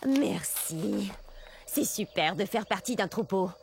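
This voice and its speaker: A woman's voice: medium pitch, lively intonation, takes it slowly, gravelly. A woman voicing a small, friendly, eager horned dinosaur who teaches perkily in a children's cartoon. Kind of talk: animated children's educational cartoon narration. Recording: music bed under the voice.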